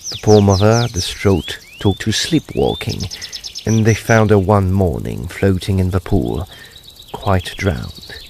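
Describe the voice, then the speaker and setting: An actor playing a courteous gentleman ghost investigator in a period audio drama, speaking formally and deliberately with theatrical flair. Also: deep voice, expressive, creaky, noisy background, full character voice. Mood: storytelling.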